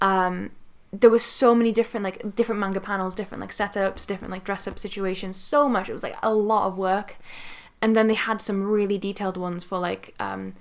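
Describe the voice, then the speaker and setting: A woman's voice: mid-range voice, fairly even intonation, a little fast. A young woman co-hosting a casual podcast chat, speaking emphatically with plenty of swearing. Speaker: podcast co-host.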